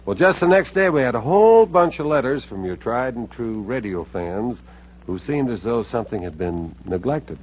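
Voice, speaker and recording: A man's voice: mid-range voice, expressive, normal speed. An American man hosting a radio show in scripted interview banter, with a folksy, genial showman's manner, on a dull vintage recording.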